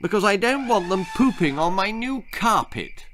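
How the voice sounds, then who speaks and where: A man's voice: sits high, lively intonation, moderate pace, fairly bright tone, soft background music, voice pushed up. A man voicing a silly, fussy rich mother in a children's storybook, indignant and weepy. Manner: blubbing, indignant.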